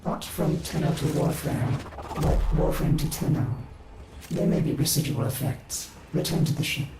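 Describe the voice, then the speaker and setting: A man's voice: on the high side, normal speed, close to an everyday voice. An actor playing a quirky male machine intelligence in a video game, formal and stilted but caring. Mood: urgent, analytical. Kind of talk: video game character dialogue. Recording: very echoey with background noise.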